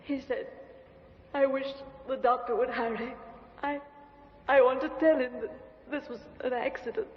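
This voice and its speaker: An actress playing a young woman on trial for murder, speaking in a breathless, pleading hush in a 1950s radio drama. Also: sits high, fairly even intonation, normal speed, close to an everyday voice. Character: young woman on trial for shooting her lover. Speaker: defendant. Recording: dull echoey old radio recording.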